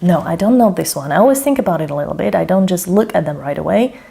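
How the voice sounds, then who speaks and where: Gravelly-voiced Slovak woman, chatty. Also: on the low side, expressive, fast talker, dark tone. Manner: chatty, frequent "okay?" and filler "uh", self-correcting. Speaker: language mentor. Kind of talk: thinking aloud while demonstrating a study method.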